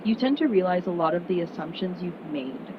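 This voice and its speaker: A young woman from Miami giving an earnest, conversational talk to prospective students, full of "like" and "um". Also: brisk. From Miami, United States. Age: young adult. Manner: earnest, conversational, frequent "like" and "um" fillers. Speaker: student speaker at an information session. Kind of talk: casual presentation to prospective students. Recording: muffled sound with steady rumble noise.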